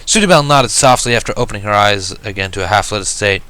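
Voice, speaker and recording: A man's voice: on the low side, quick pace, pushed and bright, clear, resonant tone, dry recording. A man reading a story aloud as a first-person narrator. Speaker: fan fiction reader.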